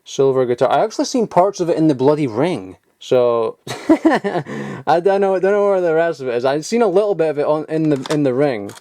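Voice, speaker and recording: A man's voice: a little high, lively intonation, moderate pace, warm tone, dry recording, clean studio sound. A young man rambling casually as he shows off old collectible toys in his home loft, offhand and chuckling at himself. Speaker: toy collector vlogger. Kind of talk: casual show-and-tell.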